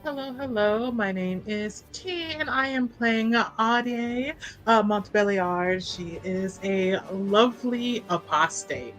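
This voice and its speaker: A woman's voice: medium pitch, slow pace, a bit bright, soft background music, low-quality recording. A woman talking casually and playfully as a player on a tabletop roleplaying stream. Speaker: player in a tabletop game show. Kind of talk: casual, playful self-introduction.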